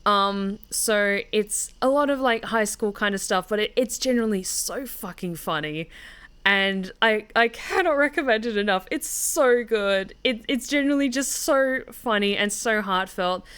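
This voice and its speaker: A woman's voice: medium pitch, unhurried, a bit bright, smooth. A woman streaming a game, chatting casually and rambling with fillers and swearing. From Australia. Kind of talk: casual let's-play commentary. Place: at home, in the UK.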